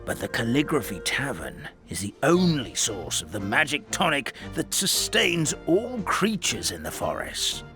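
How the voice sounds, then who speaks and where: An actor playing an old, forgetful paper creature who acts as a gentle, fretful elder, rambling in a dramatic grandfatherly way. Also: mid-range voice, wildly swooping pitch, a little slow, hardly any pauses, over music. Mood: worried storytelling. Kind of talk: video game dub acting.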